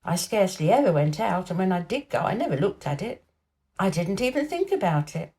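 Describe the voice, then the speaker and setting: A woman's voice: medium pitch, sing-song, brisk, dark tone. Woman as an imperious, dreamy young boy. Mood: dreamy, excited.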